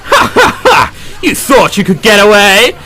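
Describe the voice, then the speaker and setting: A man's voice: high-pitched, big pitch swings, unhurried, sharp tone, husky, voice pushed up, heavily acted, poor audio. A man voicing a taunting, gloating student villain in a battle mech from a visual novel, theatrical, with music behind.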